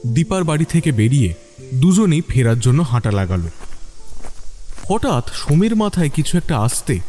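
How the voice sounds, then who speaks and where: A man's voice: on the high side, very animated, moderate pace, warm tone, faint music behind. A man reading a scripted dramatic story as its narrator.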